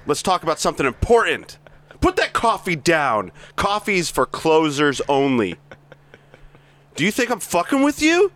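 A man's voice: a little high, very animated, moderate pace, fairly bright tone, voice pushed up. Blake, a man reading as a ranting sales boss. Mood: berating. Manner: aggressive, swearing, berating; read aloud from a script, stumbling at times. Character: brash abusive male big-shot sales boss. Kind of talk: amateur reading of a scene.

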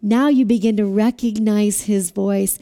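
A woman preaching a sermon with a loud voice, asking listeners to repeat phrases after her. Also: mid-range voice, fairly even intonation, measured pace. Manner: asks the audience to repeat phrases.

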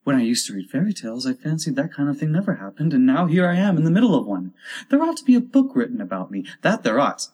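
A man's voice: on the high side, big pitch swings, fast talker, a little mellow, husky, pitched above the performer's own voice. A man voicing a curious young girl who muses and questions herself in a storybook. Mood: musing. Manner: wondering, self-questioning.

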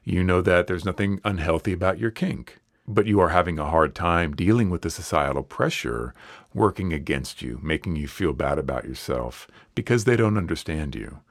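A man with a very low voice and a cold hoarseness hosts a solo podcast. He talks straight into a close mic in a dry, deadened room. His manner is earnest and reassuring. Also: animated, moderate pace, no room sound. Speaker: podcast host.

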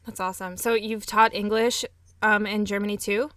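Bright woman hosting, dry close sound. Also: medium pitch, little pitch movement, moderate pace, no room sound. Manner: enthusiastic, encouraging. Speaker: interview host, music teacher. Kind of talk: casual interview chat.

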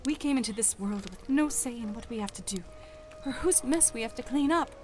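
A fiery, defiant young elf woman from a fantasy audio drama, acted by a woman. Her voice sits slightly high and she talks in a pointed, challenging way, with faint noise behind her. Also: a little fast, darkish tone, close to an everyday voice. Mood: frustrated.

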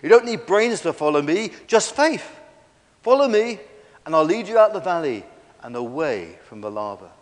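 A man's voice: big pitch swings, moderate pace. A man voicing a massive, kindly storybook diplodocus who speaks in a helpful, reassuring way. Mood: encouraging. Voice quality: deep. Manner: reassuring, explanatory. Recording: long echoey hall reverb.

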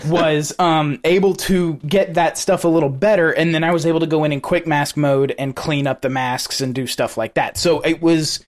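A man's voice: on the high side, fairly even intonation, normal speed, few pauses. A man co-hosting a podcast in casual chat, rambling and laughing often between thoughts. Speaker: podcast co-host.

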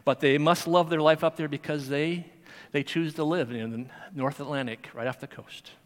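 A man's voice: on the high side, steady tone, moderate pace. A man teaching Sunday school, giving a sermon in a conversational way with fillers and restarts. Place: church sanctuary. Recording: long hall reverb.

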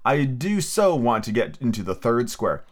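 A man's voice: medium pitch, big pitch swings, moderate pace, close to an everyday voice. A man reading aloud and voicing a polite, anxious young girl. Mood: prim.

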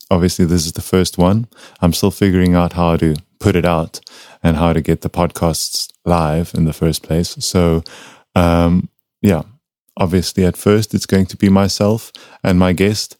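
A young man from South Africa hosting a casual podcast from his home studio, talking in a relaxed, rambling way with many fillers. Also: deep voice, no room sound. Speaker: podcast host.

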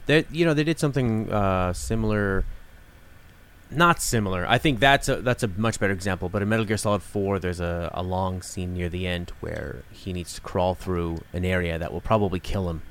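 A man's voice: deep voice, normal speed, a bit bright. A man co-hosting a casual discussion, explaining things in a conversational way. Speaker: podcast co-host discussing video games.

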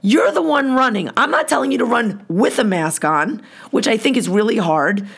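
A hoarse woman hosting a podcast, delivering a blunt, profane, heated rant. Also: a little high, animated, moderate pace. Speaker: podcast host.

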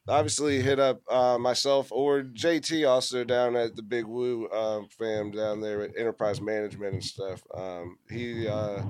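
A man's voice: medium pitch, darkish tone, clear, resonant tone, no room sound. A man calling in to a radio show as a guest, explaining things in a loose, casual, conversational way. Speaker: call-in guest, event organizer.

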